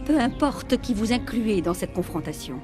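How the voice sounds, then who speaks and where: Woman doing proud alien mother queen in a game dub, grandiose, middle pitch, music under. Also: lively intonation, moderate pace, lightly acted. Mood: cold, imperious. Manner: solemn, grandiose. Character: proud, imperious alien matriarch, cold and fervent. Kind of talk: dubbed video game dialogue. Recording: loud music behind the voice.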